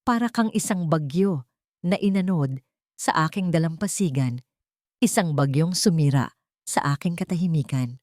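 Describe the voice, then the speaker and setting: A woman's voice: deep voice, animated, moderate pace, pauses often, a little mellow, no echo, clean studio sound. A woman voicing a tender, lovestruck man in a romantic story, earnest and poetic.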